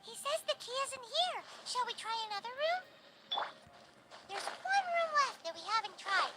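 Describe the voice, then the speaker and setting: Anime game fairy mascot: minuscule, squeaky, cheeky, very animated, sky-high pitch. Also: female voice, normal speed, noisy background. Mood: thoughtful, chirpy. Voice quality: squeaky, childlike. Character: tiny childlike floating fairy companion, chirpy and cheeky. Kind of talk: anime video game voice acting.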